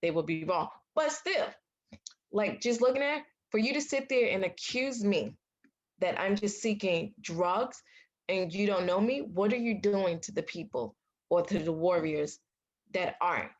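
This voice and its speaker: A young woman from the United States, a patient advocate, telling her story in a casual interview, outspoken and animated. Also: medium pitch, measured pace, muffled recording. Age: young adult. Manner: animated, acts out quoted dialogue; loud, outspoken.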